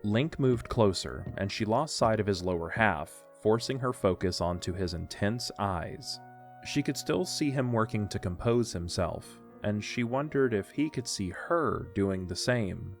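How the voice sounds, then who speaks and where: Low-voiced male story narrator. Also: lively intonation, measured pace, gravelly, faint music behind.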